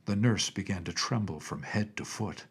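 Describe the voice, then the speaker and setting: A man's voice: on the low side, moderate pace, breathy edge. A man narrating a children's storybook from a script as an audiobook reader.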